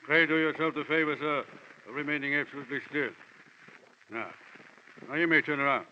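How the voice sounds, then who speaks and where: An actor playing a fat, suave, menacing gentleman crook with pompous, mock-courteous speech in an old radio drama. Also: fairly high pitch, steady tone, fairly quick, lightly acted. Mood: smugly polite. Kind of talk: 1940s radio villain acting. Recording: dull, slightly noisy old radio recording.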